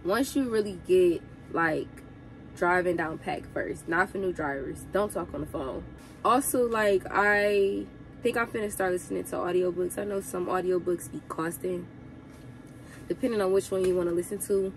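A young woman truck driver giving casual advice to her viewers, sounding congested from a cold, chatty and relaxed. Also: lowish voice, moderate pace, faint music behind, low-quality recording.

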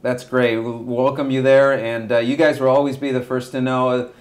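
A man in his forties, raised in Argentina with Spanish as his first language, talks calmly and casually at a medium pitch. He speaks slowly and thoughtfully, often pausing with "uh" as he picks his words. He was recorded in a small room indoors by a camera across the table. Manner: relaxed, measured, frequent hesitations. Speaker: director, interview guest. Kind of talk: casual interview talk.

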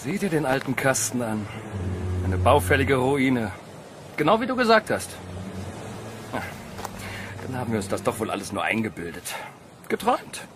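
Actor as animated young man, horror audio drama. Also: mid-range voice, moderate pace, pauses often, noisy background, natural voice. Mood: wry, relieved. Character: young man, excitable, astonished friend on a weekend adventure.

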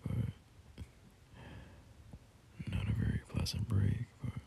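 A young man playing a caring, soothing boyfriend in an ASMR roleplay, murmuring gently and hesitantly in a breathy, gravelly half-whisper. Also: slight background noise, natural voice. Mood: tender, reassuring. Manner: gentle, hesitant, unhurried reassurance.

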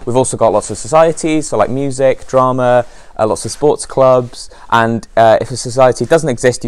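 A man's voice: on the low side, no echo. A young man from Wales, giving a casual, upbeat walking tour of his college on a lapel mic. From North Wales. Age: young adult. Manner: upbeat, chatty, many ums and likes. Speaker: college outreach officer. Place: college campus, outdoors and indoor halls.

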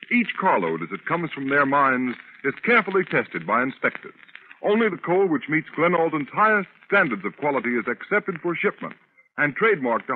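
Lively 1930s male radio announcer. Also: fairly high pitch. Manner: emphatic, crisply formal salesmanship. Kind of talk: 1930s scripted commercial announcing. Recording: tinny, hissy 1930s radio recording.

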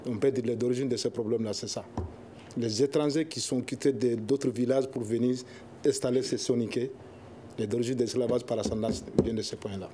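A young man, an Arabic speaker talking in French as an association spokesman on a studio panel, halting and searching for words. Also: medium pitch, fairly even intonation, warm tone, slight background noise. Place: television studio.

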